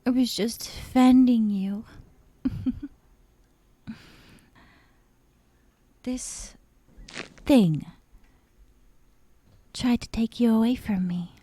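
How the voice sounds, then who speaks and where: Woman acting a slow, breathy, sadistic yandere girlfriend. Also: on the high side. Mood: sweetly sinister. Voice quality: soft, breathy. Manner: slow, breathy half-whispers broken by giggles. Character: obsessive, sadistic young yandere woman, sweetly menacing captor. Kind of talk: ASMR roleplay, intimate dramatic monologue.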